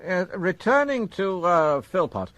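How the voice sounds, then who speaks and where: An actor playing a terse, authoritative British chief inspector in a radio crime drama, probing with clipped questions. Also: male voice, high-pitched, sing-song, measured pace, a bit bright, no echo, lo-fi sound, exaggerated voice. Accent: British English.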